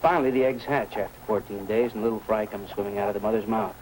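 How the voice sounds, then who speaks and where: A man's voice: on the low side, fairly even intonation, fast, darkish tone, close to an everyday voice, low-quality recording. A man playing a friendly, talkative fish hobbyist and eager witness in a 1950s radio drama. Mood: enthusiastic. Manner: talkative, folksy, hesitant asides. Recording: old radio recording with background noise.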